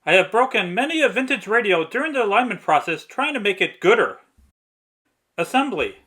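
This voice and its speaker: This is a man with a notably high, bright voice. He reads scripted tutorial narration as a hobbyist restorer explaining technical work. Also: sing-song, fairly quick, slightly rough. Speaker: narrator, vintage radio restorer.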